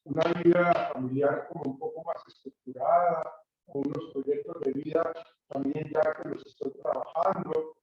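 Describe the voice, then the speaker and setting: A man's voice: a little high, a little mellow. A man with a Colombian accent giving a classroom lecture in a conversational way, with many hesitations and tag questions. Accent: Colombian Spanish. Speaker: university lecturer. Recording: roomy, distant, dull recording with faint noise.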